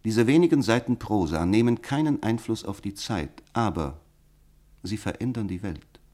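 An actor in a German radio play playing a resolute philosopher of direct action, lecturing in an emphatic, rhetorical manner. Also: male voice, fairly low pitch, animated, moderate pace, warm tone, natural voice. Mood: insistent, fervent.

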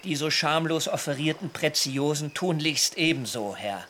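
Haughty, indignant hermit with pompous diction. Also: male voice, on the high side, animated, moderate pace, fairly bright tone, a little hiss or hum. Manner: pompous, mannered formal diction. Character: haughty, indignant, fanatical German sun-worshipping hermit. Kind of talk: radio play acting.

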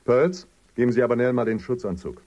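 An actor playing a seasoned expedition leader in a German radio drama, dry and instructive, giving orders casually. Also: male voice, medium pitch, expressive, brisk, a little mellow, highs cut off. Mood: businesslike. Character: seasoned male expedition leader, wry, matter-of-fact, rule-minded.

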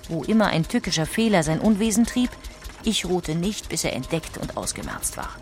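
Female documentary narrator, lowish voice, composed literary delivery for an audiobook. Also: big pitch swings, moderate pace, darkish tone, faint music behind. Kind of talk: scripted documentary narration with read quotations.

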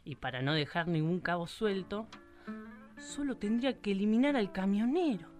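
A woman's voice: medium pitch, expressive, moderate pace, darkish tone, natural voice. An actress playing a sly Argentine country woman who narrates and snoops, with a folksy, knowing way of talking. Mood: narrating. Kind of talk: live radio drama acting. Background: guitar music.

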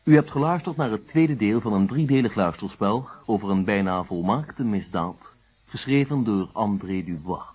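A man with a Netherlands Dutch accent announcing radio drama credits in a formal, deliberate scripted style. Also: fairly low pitch, lively intonation, a little mellow, breathy edge. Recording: dull old radio broadcast recording.

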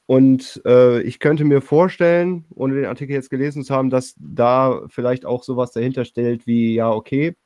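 Male co-host, tentative and hedging, casual discussion, medium pitch, dry recording. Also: fairly even intonation, moderate pace, few pauses, dark tone, no echo. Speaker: podcast co-host.

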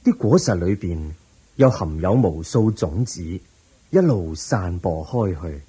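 Calm wise buddhist teacher, deep husky voice, hong kong cantonese radio play, explains patiently. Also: male voice, heavily acted. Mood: calm, instructive. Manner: measured, gently instructive, explains step by step. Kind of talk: Cantonese radio drama acting.

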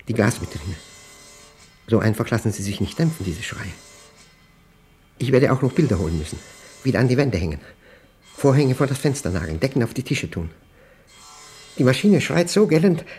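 An actor playing a paranoid, obsessive man recording a spoken diary in a radio play, in clipped, intense fragments with a gravelly, husky voice. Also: mid-range voice, darkish tone, lightly acted. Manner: clipped, fragmented, intense delivery with emphatic outbursts.

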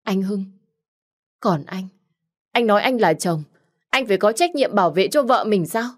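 A woman voicing a jealous young wife who pleads and accuses in a shrill, raised voice. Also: lively intonation, moderate pace, natural voice. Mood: shrill accusing.

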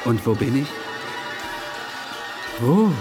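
An actor playing a disoriented, pleading wanderer lost in time in an audio drama. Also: male voice, medium pitch, very animated, extremely slow. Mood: bewildered, pleading. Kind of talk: 1980s German audio drama acting. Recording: loud music under the voice. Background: music.

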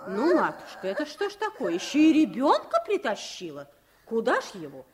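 A woman's voice: a little high, wildly swooping pitch, fairly quick, a crowd in the background. A woman playing a fussy, condescending well-to-do hostess who bustles and repeats herself, in a 1950s Soviet radio play. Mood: indignant.

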